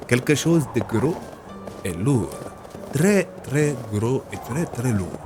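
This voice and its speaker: A man voicing an excitable, jovial Italian in an audio fiction, theatrical and with an Italian accent. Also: mid-range voice, slow pace, music in the background, pitched above the performer's own voice. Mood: ominous.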